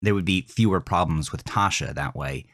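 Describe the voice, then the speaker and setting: A man hosting and narrating a scripted horror story in an intense, deliberate, dramatic way. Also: low-pitched, fairly bright tone. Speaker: host, author and narrator.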